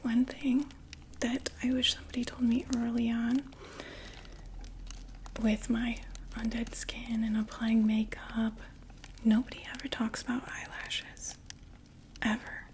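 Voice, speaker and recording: A woman voicing a chatty undead vampire makeup saleswoman in a soft, gently persuasive ASMR whisper. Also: a little high, little pitch movement, a little slow, pauses often, a little hiss or hum. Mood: hushed sales pitch. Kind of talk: ASMR roleplay.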